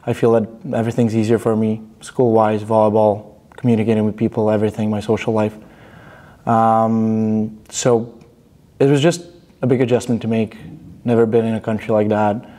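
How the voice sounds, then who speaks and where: A young man from Bulgaria, a student athlete answering interview questions in a studio, relaxed and a bit halting, with fillers and restarts. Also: on the low side. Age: young adult. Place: studio in front of a banner.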